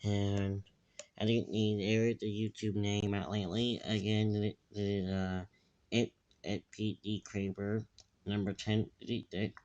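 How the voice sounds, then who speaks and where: A man giving rambling casual commentary in a halting, repetitive way with muddled wording. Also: level tone, a little mellow. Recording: dull, echoey low-fidelity recording.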